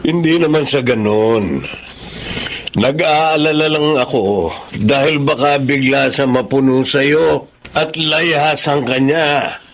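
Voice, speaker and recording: A man's voice: medium pitch, slow pace, a little hiss or hum. An actor playing a concerned male relative in a radio drama, cautioning and reproving as he speaks. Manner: admonishing. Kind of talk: Tagalog radio drama acting.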